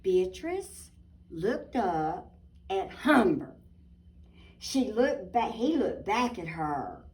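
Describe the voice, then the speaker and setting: A woman reading a children's picture book aloud expressively, with dramatic pauses and asides to her listeners. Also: mid-range voice, big pitch swings, darkish tone. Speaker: storybook reader. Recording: voice distant from the mic.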